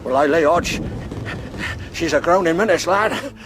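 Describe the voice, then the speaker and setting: A man's voice: a little high, unhurried, noisy background, natural voice. A man playing a wounded, wily old pirate in a radio drama, taunting and menacing in salty seafaring dialect. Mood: gruff teasing.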